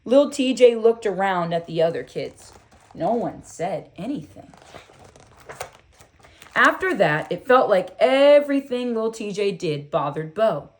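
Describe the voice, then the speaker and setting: A woman reading a children's book aloud in an expressive, deliberate storytelling style with emphatic phrasing. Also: medium pitch.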